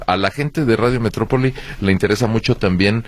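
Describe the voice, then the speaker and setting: A Mexican radio host with a very low, deep voice. He puts his questions in a formal, courteous way. The booth sound is dry and close. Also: fairly quick, dry recording. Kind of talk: radio interview.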